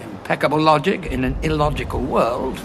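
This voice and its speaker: A resolute, probing male time traveller, voiced by an actor in a gravelly, slightly raised voice. He sounds dry and weary, and noisy war ambience sits behind him throughout the audio drama. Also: a little high, fairly quick, natural voice.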